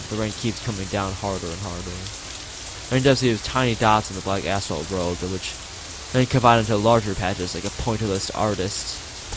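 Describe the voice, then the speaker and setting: A man's voice: fairly low pitch, background noise. A man streaming a visual novel, reading its text aloud and often stumbling over words and rereading phrases. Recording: loud music and rain behind the voice. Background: game music and rain sound effects.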